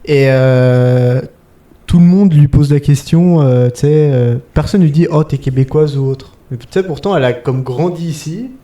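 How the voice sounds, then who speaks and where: A man's voice: medium pitch, normal speed, warm tone. A young man with a French accent, chatting casually as a podcast guest, full of fillers like "tu sais". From France. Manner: informal, many fillers like "tu sais". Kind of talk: casual chat. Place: living in Quebec.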